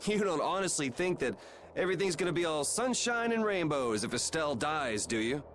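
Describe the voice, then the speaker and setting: A man's voice: a little high, lively intonation, fairly quick, a bit bright. A man playing a defiant, sardonic young hero in a video game, speaking casually and bluntly with a cocky edge. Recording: clear background noise under game dialogue.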